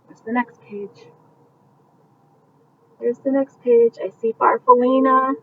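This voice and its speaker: Lady reading a bedtime storybook slowly, gently explains the pictures, kinda muffled w/ a bit of noise. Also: on the high side, fairly even intonation. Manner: gentle explaining, pointing out pictures as to young children. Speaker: storybook reader. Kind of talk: bedtime picture-book read-aloud with casual asides. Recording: muffled, distant mic with faint background noise.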